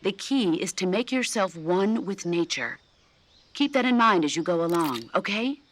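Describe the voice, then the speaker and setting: An actress playing a composed veteran soldier in a video game, a firm, supportive mentor who instructs in a measured, assured way. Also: female voice, on the low side, lively intonation, slight background noise, natural voice. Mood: instructive, firm. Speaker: combat mentor.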